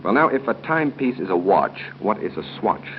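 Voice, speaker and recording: A deep-voiced American man hosts a 1930s radio quiz with a lively, swinging delivery. He banters genially and jokes as he goes. The sound is a muffled, noisy old broadcast. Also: brisk. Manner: genial and joking, chuckles between questions. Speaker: quiz show host. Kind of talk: 1930s live radio audience quiz hosting, ad-libbed banter. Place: hotel room at a club luncheon.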